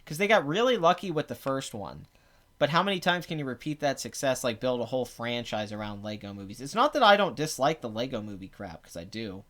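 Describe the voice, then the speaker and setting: Male host, raspy and phlegmy, casual rambling commentary with blunt sarcastic asides. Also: mid-range voice, sing-song, a little fast, a bit bright. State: clogged throat. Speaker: box office show host.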